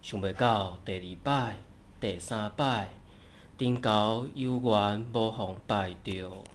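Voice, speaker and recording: A man's voice: lowish voice, slow pace, a little hiss or hum. A man with a Taiwanese accent narrating a folk tale as a storyteller.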